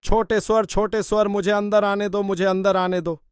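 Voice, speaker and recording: A man's voice: sits high, level tone, normal speed, clean tone, no echo, studio quality, natural voice. A man voicing a greedy, hungry cartoon wolf in a children's story, with a menacing, taunting manner. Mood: coaxing, demanding. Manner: menacing, taunting, repetitive coaxing.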